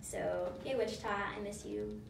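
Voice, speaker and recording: A woman's voice: medium pitch, steady tone, moderate pace, gravelly, noisy background. A young woman from Kansas chatting in a sweet, slightly hesitant way. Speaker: pageant titleholder. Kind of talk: casual chat. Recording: echoey room, mic at a distance.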